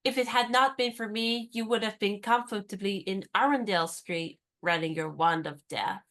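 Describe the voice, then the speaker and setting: A spirited young woman, played by an actress, who poses as a lady's maid and teases her companion in a brisk, knowing way. Her voice sits in a middle pitch. She sounds like a character in a radio play. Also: fairly bright tone, natural voice. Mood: teasing.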